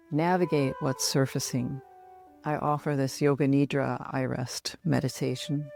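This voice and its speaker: Middle aged woman doing guided meditation, really slow and soothing, some gravel in her voice, music behind. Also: low voice, a little mellow. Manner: soothing, measured, with long pauses. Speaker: yoga nidra meditation guide.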